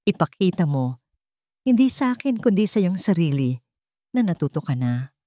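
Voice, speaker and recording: A woman voicing a calm older mother. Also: lowish voice, normal speed, dry recording, clean studio sound. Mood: calm, firm. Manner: calm and weighty. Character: older mother, wounded but composed.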